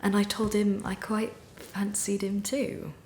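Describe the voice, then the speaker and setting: A woman's voice: mid-range voice, level tone, a little mellow, roomy sound, a little hiss or hum, natural voice. Flustered, guilt-ridden young woman, acted. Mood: sheepish, rambling. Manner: halting, rambling, blurting, with nervous laughter. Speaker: acting student. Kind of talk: dramatic monologue, naturalistic screen acting.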